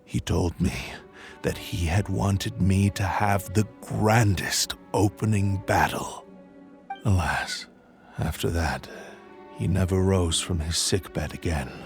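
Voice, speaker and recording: Cursed sword spirit, low-pitched, solemn, proud, formal archaic wording, game dialogue, music behind. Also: male voice, slow pace, vocal fry, full character voice. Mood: somber, regretful. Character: grave, proud male spirit of a cursed samurai blade. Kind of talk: dramatic video game voice acting.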